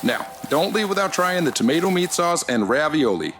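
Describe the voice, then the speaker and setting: A folksy small-town race organizer, played by a man in an audio drama. His pitch moves around a lot as he offers homespun hospitality. Underneath the friendliness he is quietly ominous and cryptic. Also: sing-song, moderate pace, soft background music.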